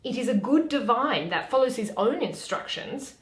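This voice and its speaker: A woman playing a witty, weary young noblewoman in a dramatic, deliberate reading of Shakespeare. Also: on the high side, lively intonation, close to an everyday voice. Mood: exasperated. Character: Portia, witty weary young noblewoman, mocking her suitors. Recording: echoey room.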